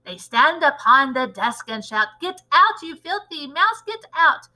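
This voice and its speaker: Nasty old witch boss from a kids book, high sharp voice, gloating and chanting, broken grammar. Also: animated, measured pace. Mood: gleeful gloating chant. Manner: ungrammatical, chanting, theatrical shouting. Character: disgusting old witch leader, gleefully cruel, gloating. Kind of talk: children's storybook villain acting.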